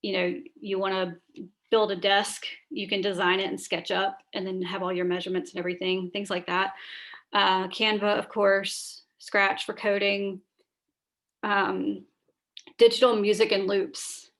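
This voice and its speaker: A woman's voice: mid-range voice, flat delivery, a little slow, fairly bright tone. A woman with a tired, scratchy voice, chatting through a screen-share training on a video call, with many fillers and restarts. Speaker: school librarian leading teacher training.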